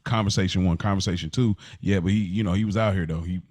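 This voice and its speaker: An American man co-hosting a casual podcast discussion, thinking aloud in a halting way and circling back to rephrase. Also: low voice, fairly even intonation, darkish tone, no room sound. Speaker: podcast co-host.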